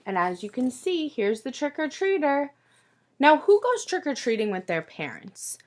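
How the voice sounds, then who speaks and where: A woman teacher reading a picture book aloud to young children in an expressive, sing-song way, pausing to ask them questions. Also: sits high.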